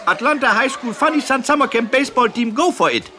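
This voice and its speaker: A man's voice: very high voice, animated, fairly quick, crowd noise. An actor playing an earnest, eagerly absurd male children's educator in a radio comedy interview, explaining things with little question tags. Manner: explanatory, with frequent "nicht?" tags.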